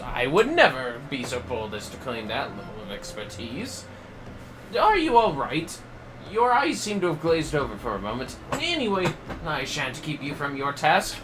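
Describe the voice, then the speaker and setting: A man's voice: medium pitch, noisy background. A man voicing a fussy, boastful scholar in a video game, with pompous, wordy, theatrical delivery. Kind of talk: reading game dialogue aloud in character.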